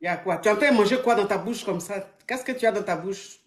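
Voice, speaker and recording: Lady coach roasting her callers, laughing all the time, mid pitch. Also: fast. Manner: mocking, laughs often, animated. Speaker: live-stream advice coach taking calls. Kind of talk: teasing call-in banter.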